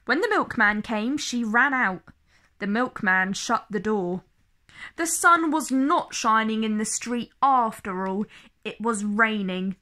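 A woman reading a children's story aloud in an expressive way, phrasing each line clearly and deliberately for young listeners. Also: a little high. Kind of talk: slow, expressive picture-book read-aloud.

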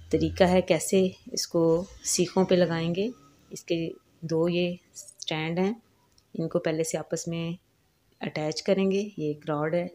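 A woman talks through a recipe at home, one step at a time. Her voice is low and mellow, and her delivery stays calm and instructive. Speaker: cooking channel host. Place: home kitchen.

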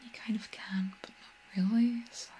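A young woman giving whispered ASMR commentary over a video game, breathy, soft, gentle and a little hesitant. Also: a little high, steady tone, moderate pace, a little hiss or hum. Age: young adult. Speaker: video game streamer and tour guide.

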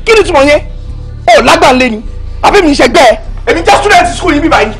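A young Nigerian actor playing a jealous, controlling boyfriend who barks insults and orders. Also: male voice, extremely high pitch, animated, racing, soft background music, full character voice. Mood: scornful. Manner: shouting, insulting, domineering.

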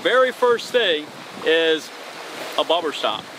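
A man's voice: a little high, sing-song, slow, a bit bright, low-quality recording. A man in his thirties hosting an outdoor fishing tutorial, talking casually and upbeat as he works with his hands on a bridge over open water. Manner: upbeat, casual, talks while tying knots. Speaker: fishing channel host. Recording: outdoor recording with wind and water noise. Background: wind and waves.

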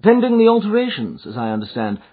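A man voicing a shrewd, commanding Victorian gentleman detective in an audiobook, speaking in incisive, precise phrases. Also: high voice, huge pitch swings, quick pace, husky, pitched above the performer's own voice, full character voice. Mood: inquiring. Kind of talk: audiobook character dialogue. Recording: muffled, thin phone-like audio.

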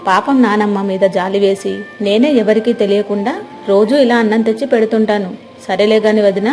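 Woman as caring, fearful young village girl confiding secrets, mellow medium-pitched voice. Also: close to an everyday voice. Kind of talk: scripted moral-story dialogue.

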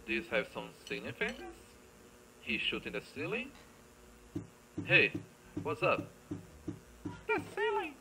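Creaky-voiced man playing a game, wildly swooping pitch. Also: huge pitch swings, slow, long pauses, pushed and bright, rough recording. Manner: playful muttering, repeats words and phrases, exclaims at the game. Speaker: gamer recording a let's play. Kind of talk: casual, off-the-cuff game commentary.

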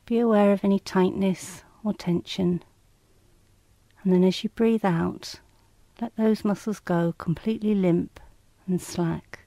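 A woman reading scripted guided relaxation instructions in a calm, measured, soothing and slightly clinical manner. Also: medium pitch, dry recording.

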